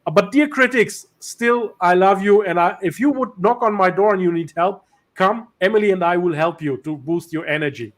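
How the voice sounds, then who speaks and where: High-voiced host, halting speech. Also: male voice. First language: German or Turkish. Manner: hesitant, many fillers and restarts. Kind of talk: casual interview. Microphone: video call.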